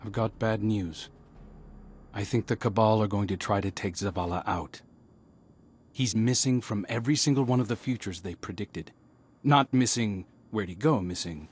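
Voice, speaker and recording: An actor voicing a dry, wry young male scout in conversational game dialogue with soft music underneath. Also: lowish voice, husky.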